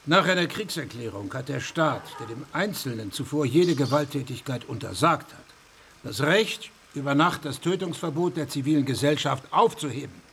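Creaky, animated learned gentleman. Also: a little high, moderate pace, a little hiss or hum. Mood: formal, probing. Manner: formal, pointed, declamatory. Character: learned, probing gentleman debater, formal and dryly ironic. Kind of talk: German radio drama acting.

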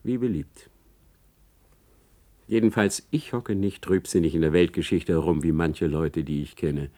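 An actor playing a spiteful, scheming academic in a German radio play, haughty, biting and emphatic. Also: male voice, low-pitched, measured pace, warm tone. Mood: coldly threatening. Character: spiteful, scheming male academic, arrogant and vindictive.

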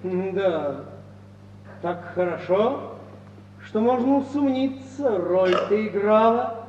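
An actor playing a cold, scheming, bullying husband with a theatrical, sneering manner in a 1950s Russian radio play. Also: male voice, high-pitched, animated, takes it slowly, a little mellow, muffled recording. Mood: sneering, suspicious. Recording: old noisy radio recording, distant, reverberant hall, hum.

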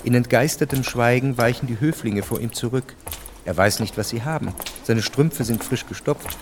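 An actor playing a sober, detached narrator of a historical radio drama, reading scripted scene descriptions. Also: male voice, medium pitch, normal speed, a little hiss or hum, lightly acted.

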